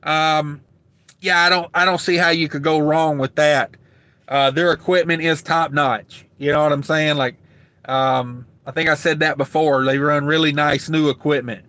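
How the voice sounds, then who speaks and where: A man's voice: fairly high pitch, fairly even intonation, normal speed, pushed and bright, no echo. A man hosting a trucking livestream, rambling casually with lots of fillers while reading out viewer comments, his throat getting dry. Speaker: truck driver livestream host.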